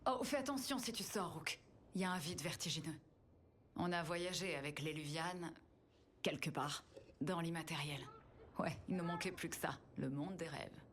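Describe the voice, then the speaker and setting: A woman acts as an adventuring companion in a video game, with a slightly low voice. She is practical and caring, talking in a friendly, conversational way. Faint ambience runs behind her. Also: moderate pace, fairly bright tone, a little hiss or hum, close to an everyday voice.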